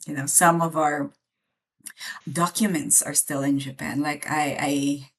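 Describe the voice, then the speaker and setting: Low-voiced woman from the Philippines. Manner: reflective, many fillers and restarts. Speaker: podcast co-host. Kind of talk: casual chat.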